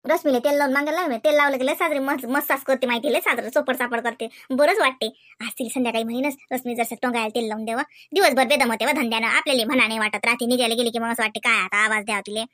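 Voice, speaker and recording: A woman's voice: a little high, steady tone, racing, fairly bright tone, dry recording, studio quality, close to an everyday voice. A woman voicing a chatty, devout, thrifty older village aunt in a comedy cartoon, rambling and coaxing in colloquial Marathi with a Varhadi accent from Vidarbha. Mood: grumbling, fussy.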